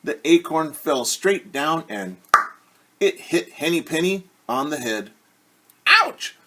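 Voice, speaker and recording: A man reading a children's story aloud as a teacher, expressive, with casual asides that explain things simply. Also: on the high side, measured pace, background noise.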